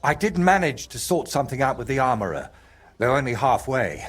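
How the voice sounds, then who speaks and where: An actor playing a troubled, anxious painter in a video game, speaking haltingly and drifting into mutters. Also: male voice, medium pitch, very animated, slightly rough. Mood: upbeat, distracted. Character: troubled, haunted male painter, anxious and distracted. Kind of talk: naturalistic video game acting.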